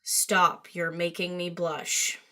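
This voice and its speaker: A woman's voice: fairly low pitch, flat delivery, slow pace, sharp tone. A woman voicing a snarky, hard-partying punk pony mare with sardonic, slangy delivery in a fan fiction reading. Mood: sarcastic.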